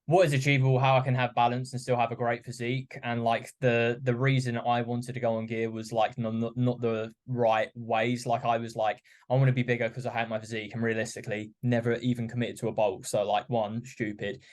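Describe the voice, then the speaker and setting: Male host, casual chat, flat even delivery, filler-heavy. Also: medium pitch, brisk, few pauses. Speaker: podcast host.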